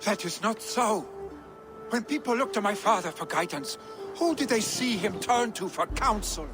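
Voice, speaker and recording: An actor playing a hot-blooded, restless young Viking warrior in dramatic game dialogue. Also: male voice, high-pitched, expressive, moderate pace, music in the background. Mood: alarmed, pleading.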